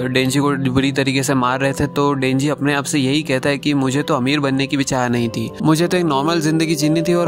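A man narrating a review as a YouTuber, conversational, mixing in English phrases. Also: medium pitch. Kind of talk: review narration with plot recap. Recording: music under the voice. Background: background music.

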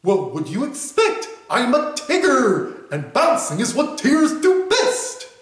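A man's voice: very high voice, wildly swooping pitch, unhurried, a bit bright, pitched above the performer's own voice, full character voice. A man voicing a bouncy, boastful male tiger with a chuckling, sing-song delivery. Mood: cheerful. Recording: roomy echo.

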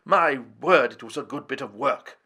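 A man's voice: mid-range voice, animated, normal speed, sharp tone, slightly rough. A man voicing an exhausted, earnest older professor. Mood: grateful. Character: weary, exhausted older male professor, dry.

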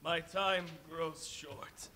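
A man's voice: on the high side, steady tone, extremely slow, crowd noise. A man playing a solemn, dying Christmas spirit in a live stage play. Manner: solemn, then anguished shouting. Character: gaunt dying male Christmas Present spirit. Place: large hall with audience.

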